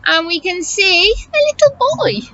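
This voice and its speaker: A woman teacher reading a story to young children, encouraging and expressive, asking questions and explaining as she goes. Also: very high-pitched, measured pace, muffled recording, a little hiss or hum. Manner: encouraging, expressive, exaggerated intonation for small children.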